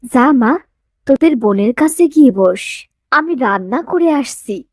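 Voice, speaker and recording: A woman's voice: on the high side, animated, normal speed, a little mellow, dry recording, studio quality. A woman voicing a caring, fussing village mother in a cartoon story, scolding yet tender.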